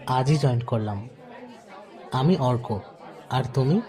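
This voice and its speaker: A man playing a shy, earnest fourteen-year-old schoolboy in an audio drama, speaking quietly. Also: medium pitch, takes it slowly, soft background music, some chatter behind, close to an everyday voice. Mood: reserved.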